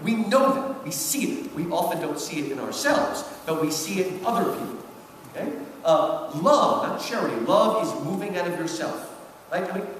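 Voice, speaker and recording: A man giving a live lecture with literary commentary, speaking emphatically and rhetorically and often checking in with his listeners. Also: high-pitched. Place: large reverberant hall at a public event. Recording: echoey hall from far off, noisy.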